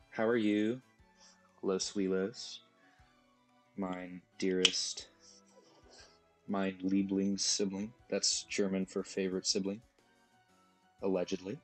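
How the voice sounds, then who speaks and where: Hoarse guy streaming a game, goofy and rambly, talks slow, faint music behind. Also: low voice. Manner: rambling, goofy, jokey asides. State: hoarse, losing his voice. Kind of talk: casual live-stream chat while gaming.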